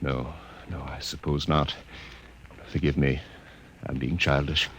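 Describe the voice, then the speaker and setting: A man's voice: extremely low pitch, flat delivery, measured pace, fairly bright tone, slight background noise. A man playing a jealous, controlling, brusque Martian husband in a science fiction radio drama. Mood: curt, subdued. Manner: brusque, demanding, accusing.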